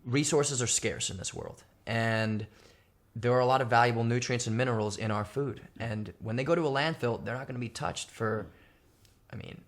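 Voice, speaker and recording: A man's voice: on the low side, gravelly. A man answering interview questions methodically, laying out points one by one with many fillers. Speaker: guest, company founder.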